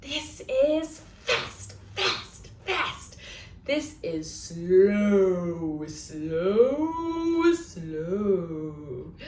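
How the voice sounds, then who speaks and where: A woman's voice: mid-range voice, sing-song, extremely slow, darkish tone. A woman hosting storytime for young children, reading aloud and talking in an exaggerated, sing-song way. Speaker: children's librarian, storytime host. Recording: echoey room.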